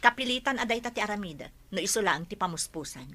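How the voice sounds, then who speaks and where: An actress with an Ilocano accent playing a greedy, domineering, scheming aunt in a radio drama, with a pushy way of talking. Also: female voice, medium pitch, expressive, quick pace, bright, forceful tone.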